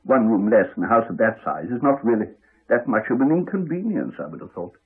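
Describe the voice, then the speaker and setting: A muffled 1980s radio drama recording of a courteous but crotchety English doctor. His delivery is dry and formal, with lively rises and falls and a slightly husky tone. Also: medium pitch. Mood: guarded. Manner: dry, formal, measured politeness. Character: crotchety, evasive, courteous male village doctor.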